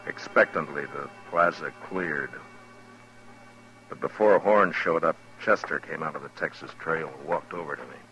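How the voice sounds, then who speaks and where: An actor playing a stern, middle-aged American frontier marshal in an old radio western, speaking with deliberate, terse authority on a hissy recording. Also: male voice, a bass voice, sharp tone, soft background music, low-quality recording. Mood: grim, measured. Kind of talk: 1950s radio western acting and first-person narration.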